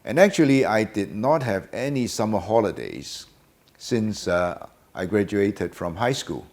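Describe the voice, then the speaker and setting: An older man in a very deep voice. He answers unhurriedly and hesitantly, filling his pauses with many "uh"s. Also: big pitch swings, darkish tone. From Hong Kong. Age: about 70. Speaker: guest. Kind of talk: casual interview answers.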